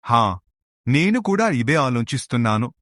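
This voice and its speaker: A man's voice: mid-range voice, big pitch swings, normal speed, a bit bright, dry recording, studio quality. A man voicing a worried, dutiful king and troubled father in a cartoon moral story. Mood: resolved.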